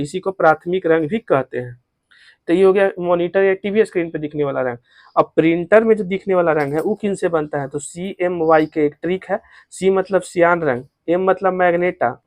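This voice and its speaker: A man's voice: fairly high pitch, no echo. A man with a Bihari accent teaching exam questions as a tutor, repeating each question and answer and mixing in English terms.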